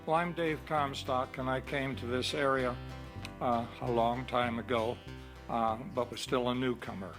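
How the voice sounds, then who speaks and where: An older man recalling local history in an interview, deliberate and halting, pausing between phrases. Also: mid-range voice, flat delivery, over music. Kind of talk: reflective interview recollection.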